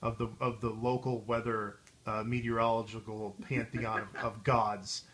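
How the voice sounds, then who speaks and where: A man's voice: fairly low pitch, flat delivery, moderate pace, a bit bright, low-quality recording. An American man hosting a video in casual chat, with a wry, rambling way of riffing as he talks. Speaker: video host.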